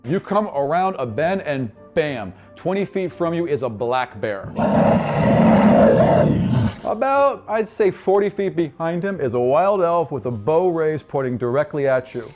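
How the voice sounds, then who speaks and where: A man's voice: a little high, big pitch swings, unhurried, a little mellow, soft background music. A man working as game master, narrating a tabletop fantasy adventure to his players.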